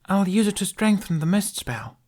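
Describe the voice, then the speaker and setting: A man voicing a frightened young boy who pleads in a high, stammering voice in an audiobook fan reading. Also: big pitch swings, normal speed, darkish tone. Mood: determined. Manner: high, urgent, stammering pleas.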